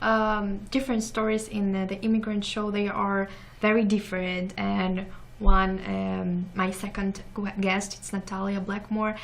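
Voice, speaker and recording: A young woman from Ukraine with a Ukrainian accent, a guest in an interview, speaking halting non-native English and searching for words. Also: mid-range voice, little pitch movement, creaky. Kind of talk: casual interview chat.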